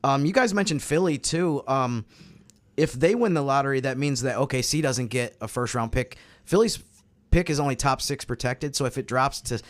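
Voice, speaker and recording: A man with a medium-pitched voice talks sports as a podcast panelist. He lays out his reasoning in a casual, analytical way. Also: normal speed.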